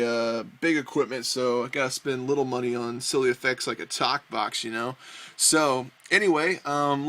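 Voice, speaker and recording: Casual American man, halting how-to talk. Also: mid-range voice, bright, forceful tone. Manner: halting, informal, thinking aloud. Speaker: host of a DIY music gear video. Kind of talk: casual hands-on demonstration, unscripted.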